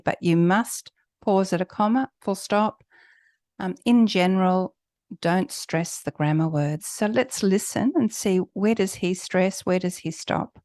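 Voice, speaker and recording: A woman's voice: lowish voice, a little mellow, no room sound, studio quality. A woman teacher giving an instructional lesson on pronunciation, coaching step by step and emphasizing example words. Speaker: English test preparation teacher.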